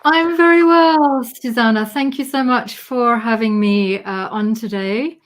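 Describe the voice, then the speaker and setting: A woman's voice: fairly high pitch, a little slow. A middle-aged woman from Britain, a guest in a casual interview, chatty with frequent fillers and laughter.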